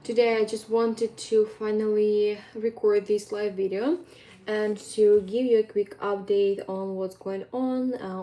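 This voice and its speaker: A woman's voice: mid-range voice, mellow. A young woman with a Ukrainian accent, a fitness coach chatting to her viewers in an earnest, rambling way with plenty of ums. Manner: earnest, rambling, with frequent ums and non-native phrasing. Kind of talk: casual vlog monologue.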